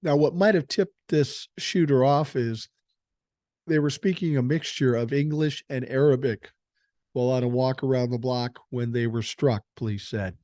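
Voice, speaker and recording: Older man, blunt and profane, mellow voice, dry close sound. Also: mid-range voice, steady tone, moderate pace, no echo. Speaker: podcast host. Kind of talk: political commentary, reading news aloud.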